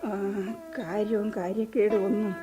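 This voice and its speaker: Radio drama, actress as a stern middle-aged village mother, reproachful and deliberate. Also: mid-range voice, fairly even intonation, close to an everyday voice. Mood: bitter. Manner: slow, cutting and reproachful. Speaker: mother of the household. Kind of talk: 1970s radio drama acting. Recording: radio play with music behind.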